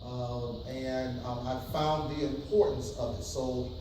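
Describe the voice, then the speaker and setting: A man's voice: medium pitch, fairly even intonation, takes it slowly, distant mic, background noise. Hesitant man in an echoey hall. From New York City. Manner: hesitant, many ums, audience call-and-response. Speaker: pastor giving an insurance workshop. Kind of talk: casual church workshop talk. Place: church hall with audience.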